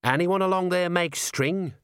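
A man voicing a slow-witted, stout middle-aged watchman who talks in a plodding, wheezy way. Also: sits high, a bit bright, clear, resonant tone, no room sound, studio quality, pitched above the performer's own voice. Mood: worried, blustering.

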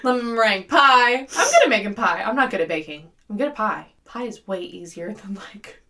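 Young woman podcast co-host, bright and animated, laughs a lot. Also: mid-range voice, brisk. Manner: laughs often, animated storytelling. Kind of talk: casual chat.

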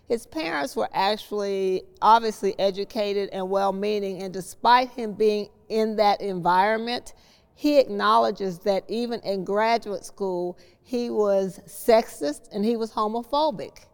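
Smooth-voiced woman host in a reverberant space. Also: medium pitch, measured pace. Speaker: host of a discussion series. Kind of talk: book discussion, explanatory talk. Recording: long hall reverb on the voice.

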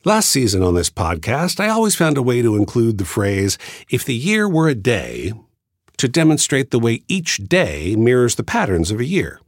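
A man hosting a podcast, reading a measured, thoughtful scripted introduction. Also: mid-range voice, wildly swooping pitch, no room sound. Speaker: podcast host. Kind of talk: scripted reflective narration.

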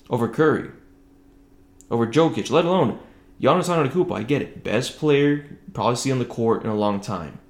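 A man hosting a casual solo sports podcast, talking conversationally and keeping his voice down as he records at home. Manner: conversational, keeping voice low late at night. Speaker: podcast host. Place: indoors at home, echoey room. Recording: roomy, echoing home recording.

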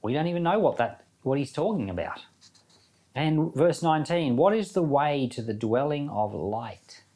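A man's voice: on the high side, lively intonation, normal speed, a little mellow. A man giving an informal sermon as a Bible teacher, reading scripture and commenting in a conversational way with rhetorical questions.

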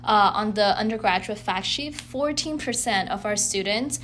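A woman's voice: mid-range voice, normal speed, fairly bright tone, a little hiss or hum. A young woman from China, a college student hosting a podcast, reading her intro in a plain, slightly slipping way. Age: young adult. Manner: plain, read-aloud delivery with small slips. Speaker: podcast co-host. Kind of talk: semi-scripted podcast intro.

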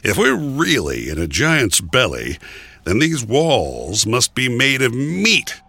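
A man's voice: mid-range voice, wildly swooping pitch, takes it slowly, creaky, sharp tone, husky, no echo, exaggerated voice. A man voicing an upbeat, cocky storybook coyote who talks in flippant, casual slang. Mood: cheerful, cocky. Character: cocky, upbeat trickster coyote, unfazed by danger.

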